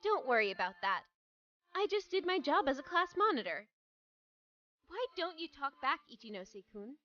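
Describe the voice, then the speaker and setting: A woman's voice: high-pitched, big pitch swings, moderate pace, sharp tone, dull audio, exaggerated voice. A woman voicing a stern, serious teenage class monitor in an anime-style dub, blunt and commanding. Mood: annoyed. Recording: background music under voice.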